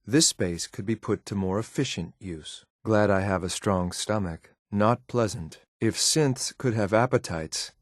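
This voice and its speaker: A cold, dutiful android soldier from a video game, with a low male voice. He speaks in a clipped, formal way, restrained and obedient. The sound is dry and close. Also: measured pace, few pauses, dry recording. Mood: guarded, observant. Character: stern, loyal male synth operative, cold and dutiful. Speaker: companion character in a video game. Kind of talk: scripted game voice lines.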